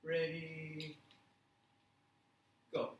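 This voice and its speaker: A man leads a class in a slightly high-pitched voice, speaking very slowly in short, simple directions. He repeats key steps and checks with his listeners. The room is echoey and he sounds some distance from the mic. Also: monotone, a little hiss or hum. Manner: deliberate, simple phrasing with repeated instructions and check questions. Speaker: language teacher leading a workshop. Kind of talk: live classroom instruction with simple step-by-step directions. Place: indoor classroom or presentation room.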